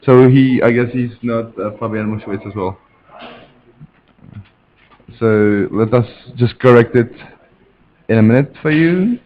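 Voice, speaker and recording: A man's voice: mid-range voice, a little slow, pauses often. A man with a Czech accent chatting casually as co-commentator on a live card game stream. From Czech Republic. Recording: dull, lo-fi stream audio.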